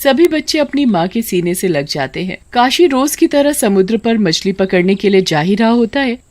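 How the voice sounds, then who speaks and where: A woman's voice: medium pitch, animated, normal speed, a little mellow. A woman narrator reading a scripted moral tale for children. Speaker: story narrator.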